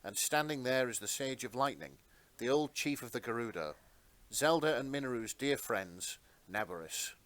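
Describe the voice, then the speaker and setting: Guy reading out a dramatic adventure story, medium pitch, narrator style. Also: lively intonation, moderate pace, a bit bright, no room sound.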